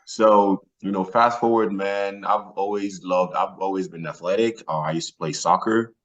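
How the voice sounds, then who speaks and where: Low-voiced Nigerian-born man telling personal stories. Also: fairly even intonation, normal speed, fairly bright tone, muffled recording. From northern Nigeria. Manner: colloquial, peppered with "man" and "you know". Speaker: podcast guest, former athlete. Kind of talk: casual personal storytelling.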